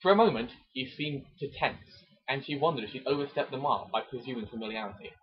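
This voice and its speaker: A man gives a mock-dramatic amateur reading of romantic prose. His mid-range voice is breathy and rough-edged. The recording sounds dull and lo-fi. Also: medium pitch, quick pace. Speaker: amateur narrator.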